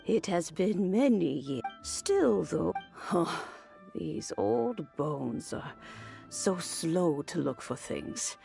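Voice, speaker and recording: Creaky, slow-spoken elderly lady. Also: fairly low pitch, sing-song, faint music behind, full character voice. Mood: reminiscing. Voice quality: creaky, aged. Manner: slow, gentle, reminiscing. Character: kindly, rambling elderly woman, gentle and wistful. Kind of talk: video game voice acting.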